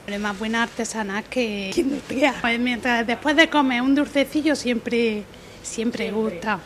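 Woman, casual vox pop, offhand, fairly high, clear street noise. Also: normal speed. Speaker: customer in street interview. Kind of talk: street interview.

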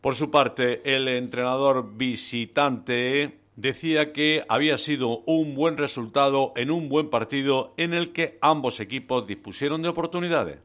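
A man presenting a sports news bulletin in a steady, formal delivery. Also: mid-range voice, a little slow. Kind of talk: scripted news reading.

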